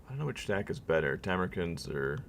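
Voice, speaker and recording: A man's voice: sits low, big pitch swings, brisk, vocal fry, a little hiss or hum. A man streaming a strategy game live, thinking aloud and reading game text to his viewers, his voice weak and worn out. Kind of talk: live gameplay commentary.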